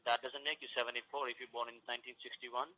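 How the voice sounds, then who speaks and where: Brisk sharp-voiced phone salesman. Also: medium pitch, flat delivery. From Florida. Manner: brisk, businesslike. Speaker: insurance salesman. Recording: tinny phone line.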